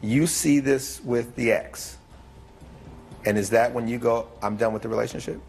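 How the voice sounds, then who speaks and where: A man's voice: medium pitch, moderate pace, gravelly, darkish tone. A man presiding as judge on a television court show, questioning both sides in a plain, joking way. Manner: joking, plainspoken, presses witnesses.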